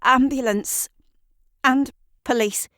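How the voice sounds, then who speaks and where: A woman narrator voicing a shocked female neighbour in an audio drama, urgent, sharp and commanding. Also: high voice, fairly even intonation, slow, no echo, clean studio sound, pitched above the performer's own voice.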